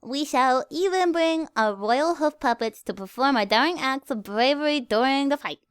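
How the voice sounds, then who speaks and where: A woman's voice: high-pitched, normal speed, no echo, pitched above the performer's own voice, close to an everyday voice. An imperious pony princess of a fantasy storybook, performed by a woman. She speaks with stately formality and commands like royalty. The sound is close and dry. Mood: regal, insistent.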